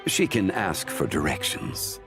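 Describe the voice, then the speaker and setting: A low-voiced, slow-talking scholarly gentleman, serene and wise. His formal lines rise and fall expressively, as an anime game character who muses like a philosopher. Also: very animated, over music, full character voice. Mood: serene, musing. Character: calm, wise, dignified ancient gentleman scholar. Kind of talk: anime video game voice acting.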